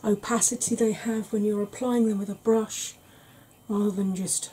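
A woman in her forties, a makeup vlogger, talking through an ASMR makeup tutorial slowly and gently in a soft, breathy voice that often drops to a whisper, recorded at home. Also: medium pitch, little pitch movement, low-quality recording. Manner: slow, gentle, patient explaining. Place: small home room.

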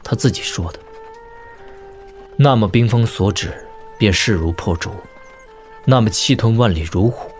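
A man acts out a young lord of a martial-arts audio drama with a deep voice. He is a brooding elder brother, weary yet tender. His delivery is measured and heavy-hearted, with faint music underneath. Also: lightly acted. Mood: sorrowful, reflective. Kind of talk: audio drama dialogue in Mandarin wuxia serial.

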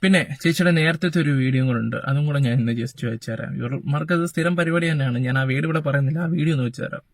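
A man's voice: a little high, rapid-fire, hardly any pauses, no echo, clean studio sound. A man giving casual opinion commentary as a reaction vlogger.

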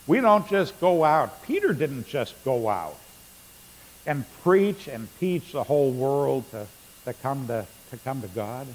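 A man's voice: mid-range voice, sing-song, measured pace, a little mellow. A middle-aged male pastor preaching a sermon in a church sanctuary, reading scripture aloud with rising emphasis. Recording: long church reverb, faint hum.

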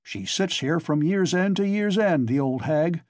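A man's voice: a little high, expressive, a little slow, a little mellow, dry recording, clean studio sound, voice pushed up. A man voicing a big, impatient, irascible visitor in an audiobook, exasperated and given to cursing.

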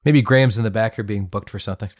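A man's voice: on the low side, rapid-fire. A man co-hosting a podcast, making casual, wry, joking commentary with the others. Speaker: podcast co-host.

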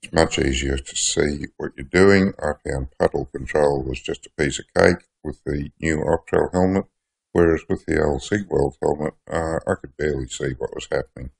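A man's voice: a bass voice, moderate pace, vocal fry. An older man, a hobby welder, chatting plainspoken and self-deprecating as he reviews gear in a workshop shed. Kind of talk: casual product review.

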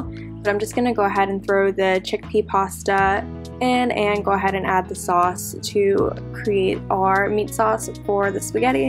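A young woman in her twenties chatting casually through a cooking vlog at home, giving upbeat step-by-step tips. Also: mid-range voice. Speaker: flight attendant vlogger. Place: home kitchen, indoors. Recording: guitar music playing underneath. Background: guitar music.

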